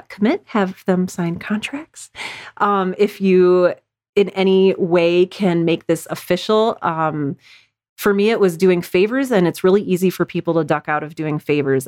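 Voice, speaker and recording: A woman's voice: on the low side, no room sound, studio quality. A woman podcast guest talking candidly and casually, explaining her creative process and giving advice in a chatty way. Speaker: interview guest, audio drama podcaster. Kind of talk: casual interview talk, sharing advice.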